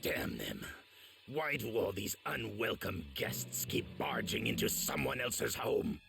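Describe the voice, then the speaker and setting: An actor playing a brooding, resentful demon in an anime game, muttering bitterly with gravelly menace. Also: male voice, fairly low pitch, wildly swooping pitch, a little slow, over music, exaggerated voice. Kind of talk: dubbed anime game acting.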